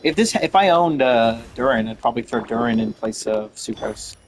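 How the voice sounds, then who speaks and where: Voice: medium pitch, expressive, moderate pace, noisy background. A game streamer giving casual gameplay commentary, musing aloud and reacting in slang.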